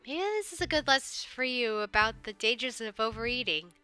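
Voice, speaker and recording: A woman's voice: on the high side, expressive, a little fast, bright, forceful tone, clean tone, faint music behind. A woman reading lines for a patient young man in a game who dryly teases his partner. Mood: teasing.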